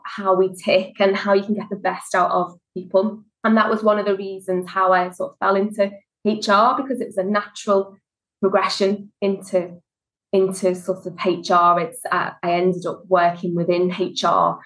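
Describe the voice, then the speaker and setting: A woman's voice: medium pitch, poor audio. A woman in her thirties with a strong Liverpool accent, answering interview questions. She talks in an easy, chatty way, winding through her story with plenty of fillers and restarts. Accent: Liverpool (Scouse). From Liverpool, England. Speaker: guest, HR consultancy director. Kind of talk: conversational interview.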